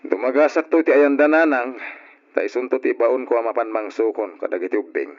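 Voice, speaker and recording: A man playing an irresponsible, hard-drinking husband and father in an Ilocano radio drama, full of sheepish drawn-out excuses and interjections. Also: medium pitch, close to an everyday voice. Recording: muffled lo-fi old radio recording.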